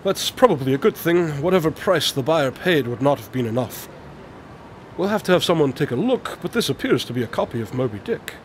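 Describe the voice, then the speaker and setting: A man's voice: on the high side, lively intonation, a little fast, a little hiss or hum, close to an everyday voice. A man acting in a radio drama as a brilliant, aloof detective, speaking formally and precisely. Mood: dry, measured. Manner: precise, formal, matter-of-fact. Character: brilliant, aloof male detective explaining his deductions.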